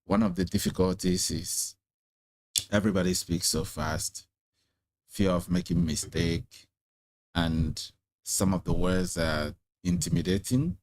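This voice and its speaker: Man, Igbo speaker, low voice, unhurried, soft-spoken interviewer. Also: expressive, gravelly, dry recording. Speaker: host. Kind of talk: casual interview conversation.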